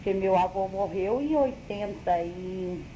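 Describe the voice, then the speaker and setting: A woman's voice: medium pitch, slow pace, slight background noise, poor audio. A middle-aged woman telling family stories in a casual oral history interview, hedging and correcting herself as she recalls. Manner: animated storyteller, hedging and self-correcting. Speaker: interviewee, daughter of the older man.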